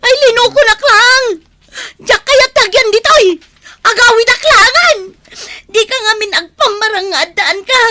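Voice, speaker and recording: Shrill, tearful young Filipino wife. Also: female voice, a little slow, pauses often, sharp tone, full character voice. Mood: upset, tearful. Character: distraught young wife, whiny and complaining. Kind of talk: Ilocano radio drama acting.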